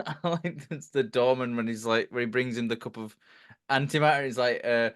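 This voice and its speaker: A man's voice: mid-range voice, moderate pace, clean tone. A man co-hosting a podcast, chuckling and making jokey asides. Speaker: podcast co-host. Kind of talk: casual chat.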